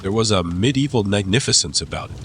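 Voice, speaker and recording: A man's voice: on the low side, expressive, fast, fairly bright tone, a little hiss or hum. A man narrating a dramatized classic story as an audiobook, reading scripted literary prose.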